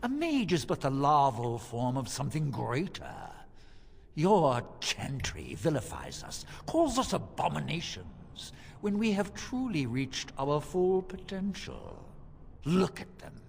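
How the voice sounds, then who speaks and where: An actor playing a pompous, mock-polite mage turned abomination, a theatrical fantasy game villain, in long reverb. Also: male voice, a little high, wildly swooping pitch, slow pace, creaky, a little hiss or hum, heavily acted. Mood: grandiose zeal.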